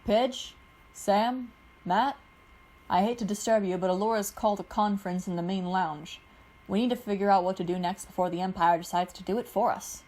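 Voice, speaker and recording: A woman voicing a steady male leader. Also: mid-range voice, normal speed, lightly acted. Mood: firm.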